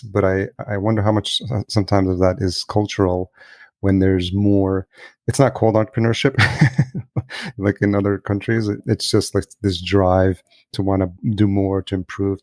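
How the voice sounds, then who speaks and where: A man from El Salvador hosting a podcast, asking curious, probing questions in a relaxed conversation. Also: low-pitched, dry recording. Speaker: podcast host. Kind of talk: casual interview conversation.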